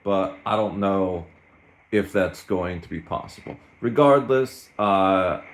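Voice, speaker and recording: A man in his thirties speaks slowly in a rather low voice. He rambles in a casual solo vlog, poking fun at himself as he goes. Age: 30s. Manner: rambling, self-mocking, slow and unhurried. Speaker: streamer.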